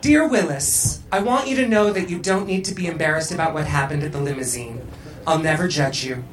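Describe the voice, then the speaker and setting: A woman's voice: deep voice, a little fast, echoey room, voice pushed down, close to an everyday voice. A woman voicing a naive, lovelorn schoolgirl with a plaintive, bewildered way of talking, in a comic story reading. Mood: tender.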